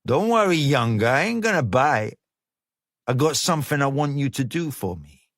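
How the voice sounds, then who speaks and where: A man voicing a cocky, flashy male gang leader who talks in slang, smooth and menacing. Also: medium pitch, animated, moderate pace, a bit bright, dry recording, clean studio sound. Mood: coaxing. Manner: coaxing street slang, persuasive.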